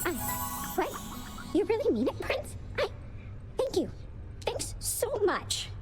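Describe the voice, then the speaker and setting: A woman's voice: very high voice, big pitch swings, slow pace, music in the background, full character voice. A woman voicing a nervous, eager young servant of the underworld in a video game, stammering and earnest. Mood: grateful disbelief. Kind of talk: scripted video game dialogue.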